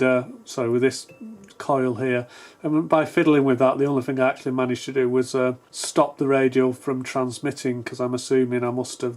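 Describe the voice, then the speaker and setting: A man's voice: mid-range voice, a little mellow. Man giving a tentative, casual repair walkthrough. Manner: tentative, self-deprecating, explanatory. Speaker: electronics repair video presenter. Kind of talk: casual unscripted technical walkthrough.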